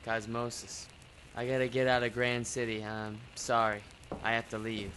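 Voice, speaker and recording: An actor playing an anxious, evasive war veteran and drifter, edgy and clipped, in an old-time radio drama. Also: male voice, medium pitch, completely flat delivery, moderate pace, fairly bright tone, a little hiss or hum, close to an everyday voice, low-quality recording. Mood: shaken.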